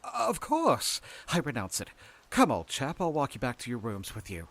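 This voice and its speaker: A man's voice: high voice, sing-song, slow pace, bright, forceful tone, no room sound, voice pushed up, heavily acted. A man voicing a concerned young soldier who soothes and humors his cousin in a hesitant, kindly way, in audiobook style. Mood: placating. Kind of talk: audiobook character acting.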